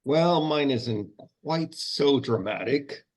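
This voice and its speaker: A man reading the part of a mild, literal-minded older monk at a table read, earnest and deadpan. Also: medium pitch, slow pace, slightly rough. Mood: sheepish. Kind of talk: informal script table read.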